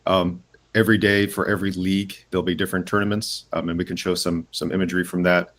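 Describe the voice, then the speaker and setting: Low-voiced man explaining with many pauses. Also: level tone, fairly bright tone. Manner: hesitant, many "um" and "uh" pauses and restarts. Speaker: game studio head, interview guest. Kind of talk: casual interview, explaining a game.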